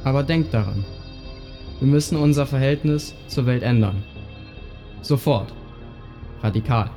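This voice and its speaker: A man's voice: medium pitch, unhurried, dark tone. A man narrating a scripted audio play in short, direct appeals to the listener. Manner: short imperative phrases inviting listeners to imagine. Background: music.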